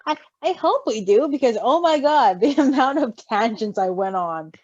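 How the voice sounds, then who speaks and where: A woman reading fan fiction and chatting casually, with a giggly, expressive manner. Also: on the high side, moderate pace, dry recording, highs cut off. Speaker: story reader and co-reactor.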